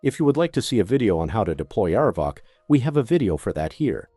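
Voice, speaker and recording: Low-voiced man narrating a lively-pitched tutorial. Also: fast talker, breathy edge, no echo, soft background music. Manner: methodical, step-by-step instruction. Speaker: product demonstrator.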